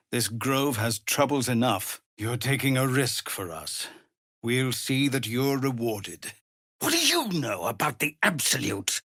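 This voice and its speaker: A middle-aged tiefling leader of refugees, grave, dutiful and commanding. His delivery is formal and unhurried, with a mid-pitched voice that swings expressively. It is dramatic video game acting, recorded very dry. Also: fairly bright tone, no echo, exaggerated voice. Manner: formal, measured, earnest, commanding.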